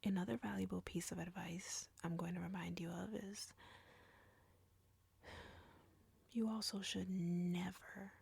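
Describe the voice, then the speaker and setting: A woman playing an arrogant, flirty, menacing vampire in an intimate audio roleplay, with a breathy whisper and a taunting, deliberate delivery. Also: fairly low pitch, fairly even intonation, darkish tone, no echo, heavily acted.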